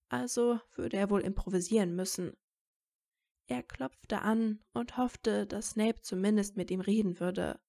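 A woman's voice: medium pitch, a little mellow. A woman reading scripted narration for a fan fiction audio story.

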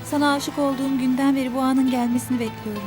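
A hurt but firm young woman, played by an actress, with a high voice and quick, measured reproach. A violin-laced drama score plays beneath her. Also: steady tone, music in the background, natural voice. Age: young adult. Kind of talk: TV drama acting.

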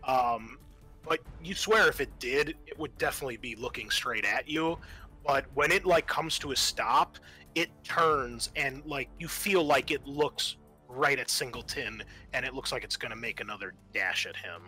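Bright-voiced man running a tabletop game. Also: mid-range voice, moderate pace, breathy edge, poor audio. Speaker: game master. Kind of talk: explaining tabletop game rules casually. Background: music.

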